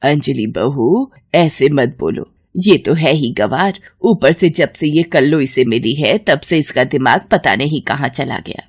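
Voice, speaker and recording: A woman voicing a scornful, bullying old Indian mother-in-law in a cartoon melodrama, scolding with drawn-out, dramatic calls. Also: deep voice, expressive, breathy edge, full character voice. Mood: contemptuous. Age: older.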